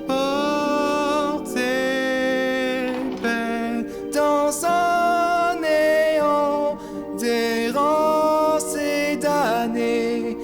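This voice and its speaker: A woman's voice: high-pitched, steady tone, drawn-out words, pauses often. A woman singing a sorrowful love ballad as a musical number in a fan-dubbed cartoon. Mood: longing, sorrowful. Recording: loud music behind the voice.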